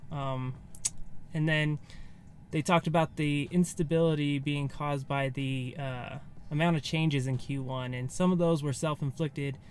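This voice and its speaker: An American man in his thirties giving a casual, off-the-cuff recap to camera from inside a car, loosely phrased as if thinking aloud. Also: a little high. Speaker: vlogger. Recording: faint background ambient noise.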